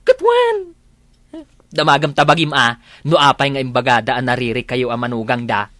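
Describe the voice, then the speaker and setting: A man's voice: mid-range voice, sing-song, normal speed, a bit bright, no echo, clean studio sound. An actor with an Ilocano Filipino accent playing a catty, brash, effeminate male gossip in a comedy radio drama. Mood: taunting.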